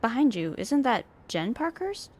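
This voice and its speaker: Lively female detective's sidekick. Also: a little high, measured pace. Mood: wry. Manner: expressive, conversational. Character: detective's chatty, concerned female partner. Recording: clear roadside background noise.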